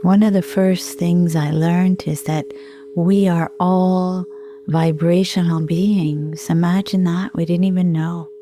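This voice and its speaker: A middle-aged woman leading a guided meditation in a soothing, unhurried manner with gentle pauses. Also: lowish voice, steady tone, background noise. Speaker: sound healer and meditation guide.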